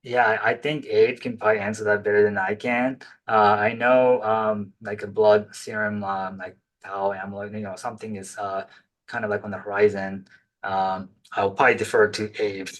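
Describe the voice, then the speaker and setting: A man with a fairly low voice answers questions after his talk over a video call. He speaks tentatively, with fillers and restarts, as he works through each answer. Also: little pitch movement, normal speed, fairly bright tone, poor audio. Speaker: presenter, physician. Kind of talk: webinar Q&A answers.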